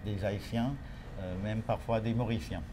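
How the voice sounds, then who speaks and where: Low-voiced man, halting, noisy recording. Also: fairly even intonation, dark tone. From United States. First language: English. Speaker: lawyer, interview guest. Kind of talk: interview answers.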